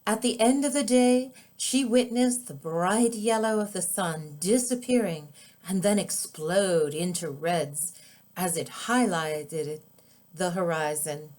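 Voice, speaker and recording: Slow-paced woman reading a children's storybook. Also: fairly low pitch, a little mellow. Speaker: author reading own children's book.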